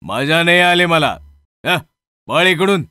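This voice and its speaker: A man's voice: a little high, expressive, slow pace, pushed and bright, clear, resonant tone, no room sound, clean studio sound, heavily acted. A man voicing a stingy, contemptuous bully of a customer, curt and demanding, in a horror story. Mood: dismissive.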